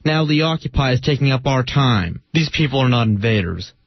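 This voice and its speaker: A man's voice: mid-range voice, lively intonation, a little fast, no echo. A man playing an earnest rookie policeman of about thirty in a readers theater monologue. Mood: earnest, weary. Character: earnest young male rookie policeman, barely thirty, a father. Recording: dull, dry lo-fi radio recording.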